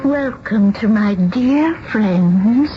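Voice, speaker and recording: A woman's voice: mid-range voice, lively intonation, very slow, noisy background. An actress playing a deluded, gracious, lonely spinster who believes she is a bride, speaking in a genteel, dreamy way. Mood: dreamy, blissful. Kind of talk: radio drama acting. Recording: dull vintage radio recording with music.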